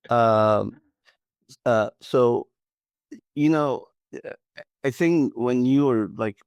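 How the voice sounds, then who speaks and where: A man's voice: mid-range voice, drawn-out words, long pauses, smooth, no echo. A middle-aged man from Iraq, a guest answering interview questions in a hesitant way, with many fillers and restarts. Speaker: guest, analytics professional.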